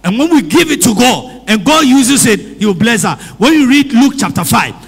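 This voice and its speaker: Priest preaching, husky high voice, big echoey church hall. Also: male voice, big pitch swings, normal speed. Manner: preaches in English and Twi, calls for amens; repeats phrases and asks the congregation questions. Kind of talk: sermon. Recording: long hall reverb.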